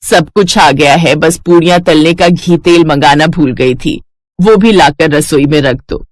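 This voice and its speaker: A woman playing a kind older mother-in-law who speaks gently and gives household instructions. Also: low voice, unhurried, no echo, studio quality. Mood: instructing.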